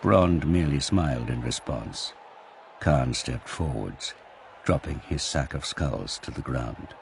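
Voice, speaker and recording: A man's voice: rumbling low, expressive, unhurried, full character voice. A man narrating an audio drama in a dramatic, scripted storytelling style. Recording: clear background noise and ambience.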